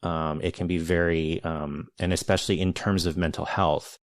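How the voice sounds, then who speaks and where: Deep-voiced man, measured and searching. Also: level tone, no echo, clean studio sound. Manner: measured, searching, many restarts and hedges. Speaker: podcast host, interviewer. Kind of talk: thoughtful conversational interview.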